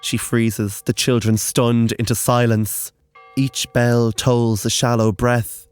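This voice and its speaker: A man narrating a scripted horror story in a grave, deliberate manner with measured dramatic phrases. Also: mid-range voice, level tone, clean tone, soft background music.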